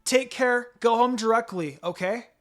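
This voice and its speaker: A kind, motherly woman as a male reader puts her on, pitched up from his usual voice. She speaks in a gentle, caring way, as in an anime story, with light music behind. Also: a little slow. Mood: grateful, caring.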